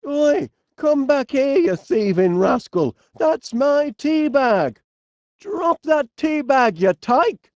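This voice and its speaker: An actor playing a polite, bumbling, enthusiastic inventor with a Northern English accent in an animated video game, hesitant and fussily courteous with quaint turns of phrase. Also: male voice, extremely high pitch, takes it slowly, dry recording, clean studio sound, full character voice. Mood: indignant.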